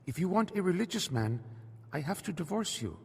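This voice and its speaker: A man's voice: high-pitched, big pitch swings, brisk, vocal fry, slightly rough, long reverb tail, heavily acted. An actor playing an earnest, defiant Iranian man in a radio drama, at times narrating his own story. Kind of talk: radio drama acting and first-person narration.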